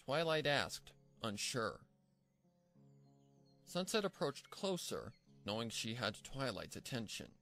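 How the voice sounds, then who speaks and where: A man reads scripted fiction as the narrator. His mid-pitched voice moves in a lively, animated way. Faint music plays behind the storytelling. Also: takes it slowly.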